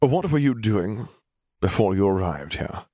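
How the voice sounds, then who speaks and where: A man voicing a haughty, menacing male stranger with a formal, measured manner, in an audiobook. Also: lowish voice, sing-song, dark tone, no room sound, studio quality. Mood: probing. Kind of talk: audiobook character voice.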